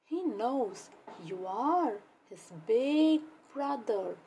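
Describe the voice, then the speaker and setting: A woman playing a gentle, caring mother in a children's storybook, soothing and patient in manner. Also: fairly high pitch, expressive, extremely slow, slight background noise, voice pushed down, heavily acted. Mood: tender. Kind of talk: children's storybook read-aloud.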